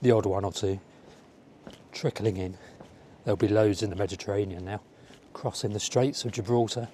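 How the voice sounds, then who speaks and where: Mellow, slightly croaky man vlogging outdoors. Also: fairly low pitch, fairly even intonation, normal speed, a little hiss or hum. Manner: rambling, musing aloud to the viewer. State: slightly croaky from a fading cold. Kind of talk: casual outdoor walking vlog chat. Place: outdoors, walking by a village road and seafront. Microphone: small wireless clip-on mic.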